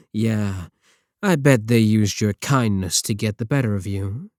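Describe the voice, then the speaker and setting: A taunting villain scientist, voiced by a man speaks close to the mic in a low, sarcastic tone. He sounds arrogant and condescending. The recording is dry, with no room sound. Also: slow, darkish tone, no echo. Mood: mocking. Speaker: voice actor in audio roleplay. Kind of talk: ASMR roleplay audio.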